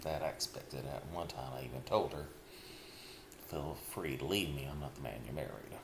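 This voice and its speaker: A middle-aged man speaking a slow, heavy personal confession, pausing often and sighing. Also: very deep voice. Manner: slow, heavy, halting with sighs. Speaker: vlogger. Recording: echoey room with clear background noise.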